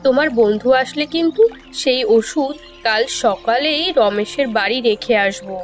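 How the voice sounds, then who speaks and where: A woman's voice: fairly high pitch, measured pace, lightly acted. A woman voicing a concerned, impatient village woman in a cartoon fairy tale. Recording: background music under dialogue.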